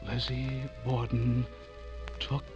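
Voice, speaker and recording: A man's voice: medium pitch, completely flat delivery, slow pace, over music, close to an everyday voice. Male actor as frightened man narrator, old-time radio horror, melodramatic, haunted, unravelling, dull lo-fi sound, prominent musical score. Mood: grim, haunted. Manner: melodramatic, haunted, swinging from hushed to frantic. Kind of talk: 1940s radio horror acting.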